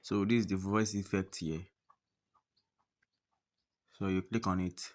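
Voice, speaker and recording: A man's voice: low-pitched, steady tone, measured pace. A man narrating a step-by-step app tutorial, often repeating his phrases and instructions. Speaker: tutorial host.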